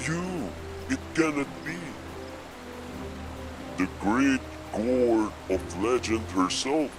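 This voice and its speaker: A man's voice: mid-range voice, expressive, drawn-out words. A man voicing a blustering, thwarted demon villain from a fantasy game, theatrical, in an echoey sound with music behind. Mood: aghast. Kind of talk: reading game dialogue aloud on stream.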